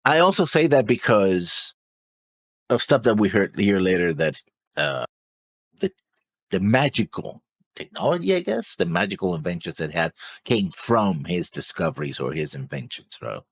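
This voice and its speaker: A man with a very low, gravelly and husky voice talks in a casual discussion. He wanders up and down in pitch as he floats hesitant theories. The sound is dry and close. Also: very animated, moderate pace, no room sound. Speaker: anime reaction show co-host.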